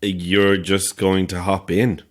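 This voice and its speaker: A man's voice: low-pitched, little pitch movement, slow pace. An Irish man playing a chatty, boastful small-time actor who is very pleased with himself, in a naturalistic audio drama. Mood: affronted, self-important. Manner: boastful, chatty, theatrical asides.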